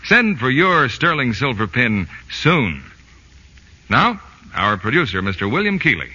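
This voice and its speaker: A man's voice: mid-range voice, very animated, a little slow, pushed and bright. A man announcing an advertisement in 1940s radio style. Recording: dull old radio recording, long reverb.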